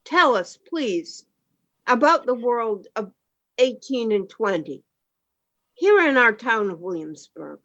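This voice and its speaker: A woman's voice: fairly high pitch, very animated, slow pace, sharp tone, highs cut off, exaggerated voice. A woman playing a present-day family member, speaking in an earnest, questioning way. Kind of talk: community radio play acting.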